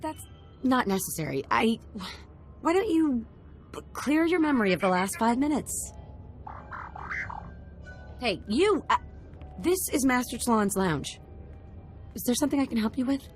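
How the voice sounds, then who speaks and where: A woman's voice: fairly high pitch, big pitch swings, measured pace, pauses often, faint music behind. An actress playing a wry, guarded woman who works as a casino lounge assistant in a video game, talking casually. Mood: flustered.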